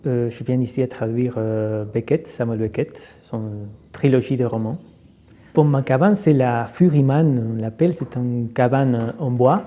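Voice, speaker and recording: Guy, translator, very dark mellow voice, lots of euh and restarts. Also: mid-range voice. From Argentina. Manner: halting, many fillers and repeated words. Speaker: literary translator. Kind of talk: reflective interview.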